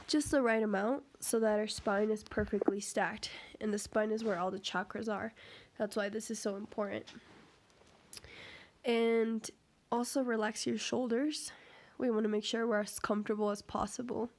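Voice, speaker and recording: A woman leading a guided meditation in a gentle, measured, instructional way with pauses. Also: medium pitch. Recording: steady humming tuning-fork tone behind voice. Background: crystal tuning fork tone, hum.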